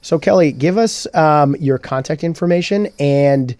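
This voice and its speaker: A man's voice: a little high, a little slow, no echo. A man co-hosting a casual real estate talk show, telling jokey stories. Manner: animated, jokey storyteller. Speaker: co-host. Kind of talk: casual chat.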